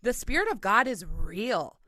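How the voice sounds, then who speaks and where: A woman's voice: mid-range voice, very animated, measured pace, sharp tone. A woman hosting a podcast, chatting in an enthusiastic, affirming way. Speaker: podcast host. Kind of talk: casual interview conversation.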